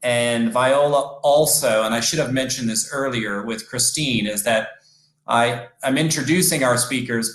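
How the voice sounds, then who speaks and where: A man's voice: medium pitch, fairly bright tone, roomy sound, rough recording. A man moderating a panel over a video call, friendly and self-deprecating.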